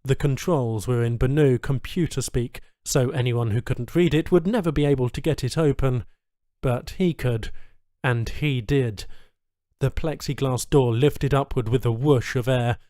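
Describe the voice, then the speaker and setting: A man's voice: medium pitch, measured pace, dark tone, dry recording. Male audiobook narrator, dry close recording. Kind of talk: scripted story narration, reading a sci-fi short story.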